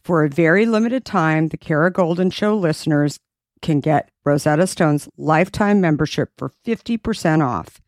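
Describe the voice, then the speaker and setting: A woman's voice: normal speed, a little mellow, dry recording, clean studio sound. A woman podcast host reading sponsor ads in an upbeat, persuasive way. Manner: upbeat, persuasive sales pitch. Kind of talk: read ad.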